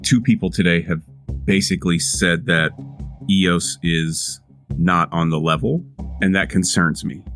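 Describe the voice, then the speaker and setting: A man voicing Ellen, an earnest and cautious adventurer, speaking in a halting way as if thinking aloud in a tabletop roleplay. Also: sits low, fairly bright tone. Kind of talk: in-character roleplay.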